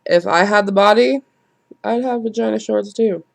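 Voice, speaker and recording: A stuffed-up, nasal young woman, talking straight to camera in a loose, rambling vlog. She is blunt and profane, and she laughs at herself as she goes. Her pitch sits in an ordinary middle range. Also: moderate pace, gravelly. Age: late 20s. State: congested, nasal from a cold. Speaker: vlogger. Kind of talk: casual vlog monologue.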